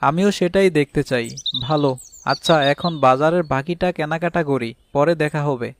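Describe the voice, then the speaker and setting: A man's voice: on the high side, moderate pace, natural voice. A man voicing a practical, good-humoured village fish farmer and husband in a cartoon fairy tale, explaining things step by step. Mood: friendly. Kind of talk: animated fairy tale voice acting. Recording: clearly noisy track with birdsong.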